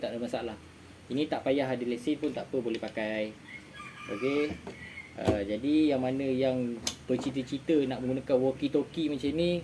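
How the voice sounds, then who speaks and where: A Malaysian man talks his viewers through practical advice in an informal, conversational way. His voice is mid-pitched and mellow, and he often restates a phrase to drive it home. Faint noise sits behind the voice. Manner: repeats phrases for emphasis, informal tag words. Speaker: amateur radio vlogger giving advice. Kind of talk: casual explainer chat to viewers.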